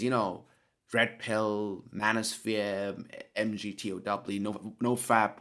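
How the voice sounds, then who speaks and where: Sniffly man with a cold, low voice. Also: gravelly, a bit bright. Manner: earnest, hesitant, self-correcting. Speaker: podcast host. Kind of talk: solo podcast monologue.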